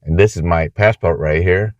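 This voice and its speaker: The recording is a little dull and muffled, made indoors. A man with a low voice and a Vietnamese accent talks informally to camera. He explains things haltingly, like a casual travel vlogger. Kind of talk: casual talking-head video. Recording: muffled, echoey room sound.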